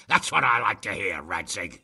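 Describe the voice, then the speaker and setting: Gruff nobleman, gravelly, sharp, animated delivery, brash, medieval game. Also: low voice, exaggerated voice. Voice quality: gravelly, rough. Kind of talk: video game acting.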